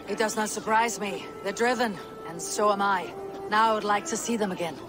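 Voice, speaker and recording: A commanding Viking warrior woman, played by a game actress. She speaks in measured, stern lines, blunt and loyal, at a middling pitch for a woman. Music plays behind her voice. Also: natural voice. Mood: cool, confident. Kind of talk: video game cutscene acting.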